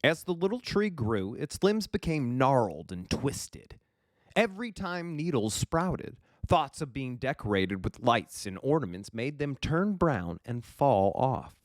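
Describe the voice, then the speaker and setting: A man's voice: medium pitch, big pitch swings, unhurried, no room sound. A man narrating a children's storybook as a reader for kids.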